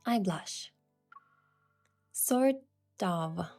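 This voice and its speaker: A woman's voice: lowish voice, animated, very slow, a little mellow. A woman reading scripted first-person romance narration and voicing the heroine. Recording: music behind the voice.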